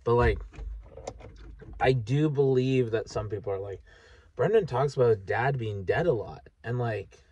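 A man of about thirty, talking frankly and haltingly in a sweary, candid personal vlog monologue. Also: mid-range voice, expressive, a little mellow, breathy edge, no echo, recorded from a distance, lo-fi sound. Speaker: vlogger. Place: inside a parked vehicle at night.

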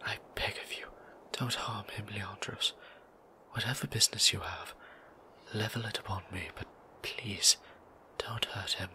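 A man voicing a gentle, easily flustered male vampire priest who talks in a soft whisper and stammers when nervous, for an ASMR audio roleplay. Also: lowish voice, steady tone, a little slow, long pauses, poor audio. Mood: pleading.

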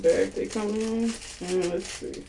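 A woman's voice: mid-range voice, steady tone, slow, creaky, warm tone, a little hiss or hum, poor audio. A woman, chatting animatedly to viewers in a casual haul video from a small bedroom, recorded on a webcam. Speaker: vlogger.

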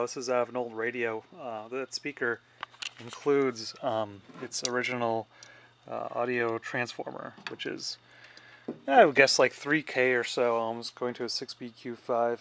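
A man's voice: mid-range voice, a bit bright. A man giving a casual technical demonstration, thinking aloud as he works. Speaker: radio restorer narrating his own repair. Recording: clear background noise.

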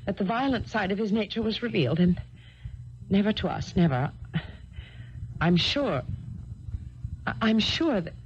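A woman acting in a 1970s radio drama, playing a distressed, earnest young English heiress with formal, halting phrasing. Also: mid-range voice, lively intonation, lightly acted. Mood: grieving, troubled. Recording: dull noisy old radio recording.

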